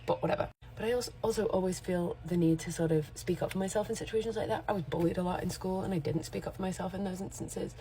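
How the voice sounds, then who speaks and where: A woman's voice: fairly low pitch, mellow, low-quality recording. A woman in her forties, a makeup artist talking candidly to camera in a rambling, self-correcting way. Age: 40s. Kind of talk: casual chatty vlog.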